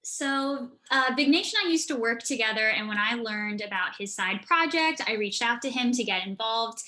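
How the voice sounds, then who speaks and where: Female event co-host, forward bright tone, slightly high pitch, video-call setting. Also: lively intonation, normal speed. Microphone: video call microphone.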